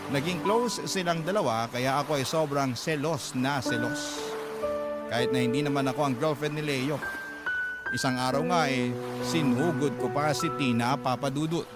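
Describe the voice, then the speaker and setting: A Filipino man narrates a first-person letter for a radio drama. His mid-pitched voice is emotive and lamenting, set over loud music. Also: normal speed.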